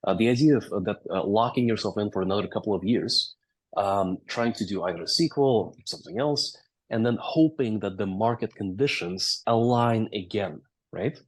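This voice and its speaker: A man's voice: fairly low pitch, normal speed, husky. A man in his thirties from Latvia with a Latvian accent, telling his story as a guest in a casual interview, fluent with frequent "uh" and "right?". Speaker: company founder, interview guest.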